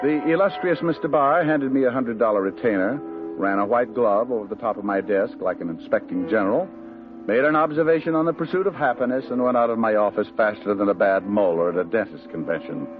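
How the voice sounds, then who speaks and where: An actor playing a wisecracking private detective who narrates in the first person, with dry, sarcastic quips in a 1950s radio drama. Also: male voice, on the low side, fairly quick, natural voice. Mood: sardonic narration. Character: wisecracking hard-boiled male private eye. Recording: tinny old radio broadcast with music.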